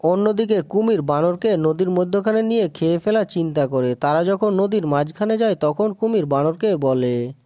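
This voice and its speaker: A man's voice: high-pitched, animated, moderate pace, dark tone, dry recording, highs cut off. A man giving scripted narration of an animal fable as a storyteller.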